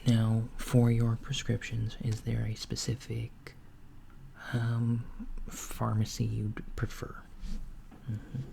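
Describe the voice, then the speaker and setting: A man playing a calm, attentive male alien doctor in a softspoken ASMR roleplay, talking gently and hesitantly, with frequent ums and slips into a whisper. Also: mid-range voice, gravelly. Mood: gentle. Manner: soft-spoken, unhurried, slipping into whispers. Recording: lo-fi with steady background hiss.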